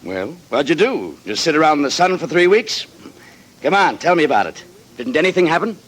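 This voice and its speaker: An actor playing a knowing boss who prods with mocking questions, in 1940s radio drama style. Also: male voice, on the high side, very animated, a little fast, a bit bright, a little hiss or hum. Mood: teasing. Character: needling, knowing male boss figure.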